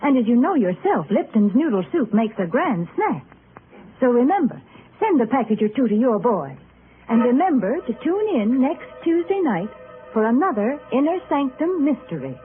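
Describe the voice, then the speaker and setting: High-voiced, lively 1940s radio saleswoman. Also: moderate pace, phone-quality sound, faint music behind. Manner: wholesome, persuasive, clearly enunciated sales talk. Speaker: commercial announcer and co-host. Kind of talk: 1940s radio advertising spiel. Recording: thin, hissy vintage radio broadcast.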